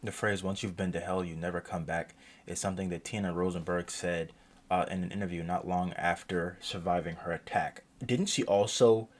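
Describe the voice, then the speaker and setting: A man's voice: low-pitched, fairly even intonation, moderate pace. A man doing casual reaction commentary, reading text aloud and rambling with wry asides and self-corrections. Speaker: reaction video host. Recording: loud constant background noise.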